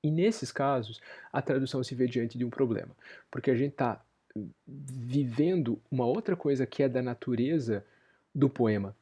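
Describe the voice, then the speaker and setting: Guy lecturing, warm husky voice, keeps rewording stuff as he thinks. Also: mid-range voice, moderate pace. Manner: thoughtful, rephrasing as he goes. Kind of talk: university lecture.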